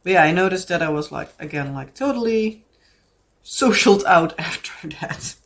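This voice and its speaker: A woman's voice: on the low side, animated, normal speed, a bit bright. Chatty Dutch-accented woman vlogger. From Netherlands. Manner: chatty and rambling, many fillers and restarts. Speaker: craft vlogger. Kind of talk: casual chat to camera.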